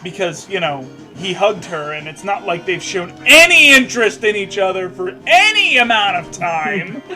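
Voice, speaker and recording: A man's voice: sits high, sing-song, normal speed, pushed and bright. A man reading a story aloud with mocking, jokey asides, stammering and stumbling over the text. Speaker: co-host reading fan fiction aloud. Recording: music playing behind the voice. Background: video game music.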